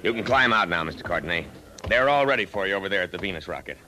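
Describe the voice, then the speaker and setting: A man's voice: sits low, expressive, quick pace. An actor playing a jeering, bossy rocket crewman in a 1950s radio drama. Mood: brisk. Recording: noisy vintage radio sound effects.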